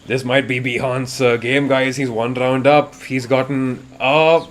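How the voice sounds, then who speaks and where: Excitable man calling a fighting game. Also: medium pitch, a little slow. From India. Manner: excitable, rambling, jumps between hype and quiet asides. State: voice getting raspy. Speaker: esports commentator. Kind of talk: live fighting game commentary.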